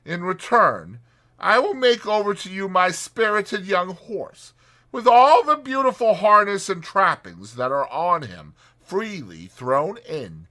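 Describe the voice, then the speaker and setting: A man's voice: high-pitched, big pitch swings, slow, bright, forceful tone, voice pushed up, heavily acted. A man voicing a boastful, pompous toad haggling in a wordy, indignant manner in a children's storybook. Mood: firm, haggling. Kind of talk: dramatized children's storybook reading.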